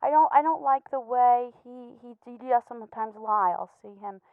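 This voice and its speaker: A woman playing an anxious, earnest pet betta fish in a comedy voicemail monologue, stammering and hesitant, gently judgmental and apologetic. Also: on the high side, normal speed, clean tone, dry recording, close to an everyday voice. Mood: worried, disapproving. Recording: muffled, tinny phone-like voicemail sound.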